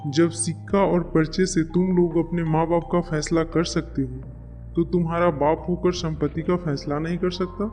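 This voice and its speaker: A man's voice: on the high side, level tone, normal speed, a little mellow, soft background music, natural voice. A man voicing a firm, wounded elderly father in a family cartoon story. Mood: stern, hurt. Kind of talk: Hindi moral-story cartoon dialogue.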